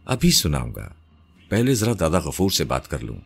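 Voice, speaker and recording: Man as calm teasing uncle, low raspy voice, easygoing, audio story with music. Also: heavily acted. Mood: pleased. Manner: easygoing, familiar.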